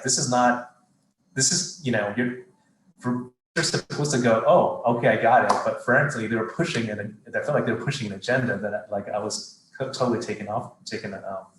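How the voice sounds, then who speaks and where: A man's voice: on the low side, fairly bright tone, slight background noise. A middle-aged man, a TV studio executive, answering interview questions thoughtfully, with many fillers and restarts. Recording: roomy echo.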